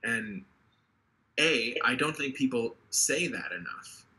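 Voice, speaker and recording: A man's voice: medium pitch, expressive, slow, sharp tone, poor audio. A man in his twenties, an earnest political candidate speaking passionately on a video call, often restarting his sentences. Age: 20s. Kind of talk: interview over video call.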